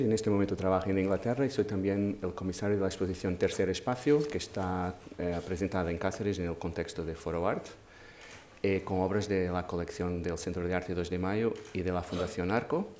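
A man in his forties with a Portuguese accent, a non-native speaker, moderating a panel in a thoughtful way, pausing and restarting phrases. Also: low voice, fairly even intonation, dark tone. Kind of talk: panel discussion talk. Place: large dark auditorium stage. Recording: echoey hall with faint noise.

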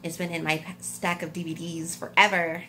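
A woman's voice: lowish voice, flat delivery, brisk, sharp tone, a little hiss or hum. A woman of twenty-nine, chatting bubbly and giggly to viewers on a phone camera in a hospital room. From United States. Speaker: vlogger. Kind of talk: casual couple vlog chat. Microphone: phone camera at arm's length.

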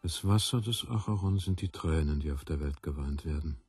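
A man's voice: a bass voice, darkish tone, slightly rough, no echo, faint music behind. A man playing a grave, solemn narrator of myths in a radio play. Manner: solemn, measured.

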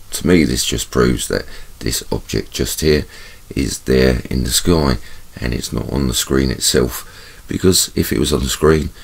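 Deep gravelly congested man. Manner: chatty and conspiratorial, repeats words for emphasis. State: congested, bunged up. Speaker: channel host. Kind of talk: casual video commentary over camera footage.

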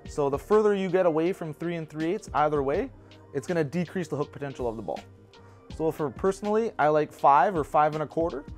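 Shop instructor, man in his 30s, lapel mic, easygoing practical delivery, light pitch. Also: brisk, soft background music. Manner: relaxed, practical, personal asides. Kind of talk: casual how-to explanation. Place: bowling pro shop indoors.

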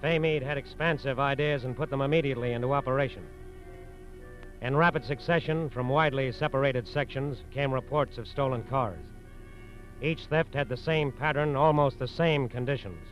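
A man's voice: mid-range voice, normal speed, close to an everyday voice. Muffled old-time radio narrator, a male actor. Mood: grave, authoritative. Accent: American. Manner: formal, emphatic. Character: earnest, authoritative male crime-case narrator. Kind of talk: 1940s radio drama narration. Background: dramatic music.

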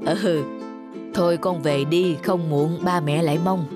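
A woman's voice: lowish voice, fairly even intonation, unhurried, over music, natural voice. A woman voicing a kindly, helpful elderly grandfather in a cartoon story.

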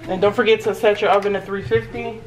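A woman's voice: mid-range voice, level tone, quick pace. A woman in a home kitchen talking viewers through a simple recipe, chatty and reassuring, heard on the camera mic across the room. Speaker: home cooking vlogger. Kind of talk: casual cooking walkthrough. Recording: noisy home kitchen recording. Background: clinking dishes and cutlery.

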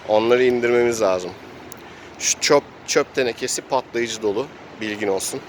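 A man with a mid-pitched voice hosts an improvised role-play adventure like a game master. He tells the action in a casual storytelling way, adding his own spoken sound effects. He is recorded outdoors, with light urban and vehicle noise behind him. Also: moderate pace.

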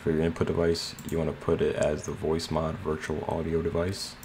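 A man's voice: extremely low pitch, completely flat delivery, a little fast, a little mellow. A man narrating a casual screen tutorial in a plain, slightly hesitant way. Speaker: tutorial host. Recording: clear background noise.

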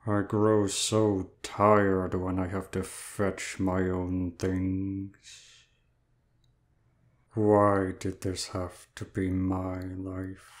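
A man's voice: sits low, monotone, very slow. A man playing a weary, self-pitying, lazy male demon in an audio drama, with a listless, mournful drawl.

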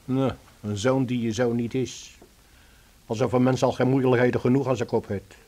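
A man's voice: medium pitch, fairly even intonation, moderate pace, warm tone, natural voice. Wry, talkative Dutch man, played by an actor. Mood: wry, reassuring. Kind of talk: radio drama dialogue.

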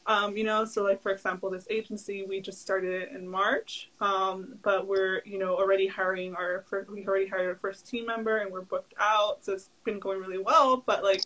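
A woman's voice: mid-range voice, flat delivery, normal speed, hardly any pauses, fairly bright tone, rough recording. A young woman whose first language is Spanish, a guest entrepreneur chatting casually over a video call, full of "like" fillers and easy laughs. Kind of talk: casual interview chat.

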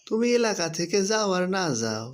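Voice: medium pitch, sing-song, normal speed, close to an everyday voice. A performer voicing a scolding, reproachful male cowherd in a cartoon. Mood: accusing. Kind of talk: cartoon voice acting.

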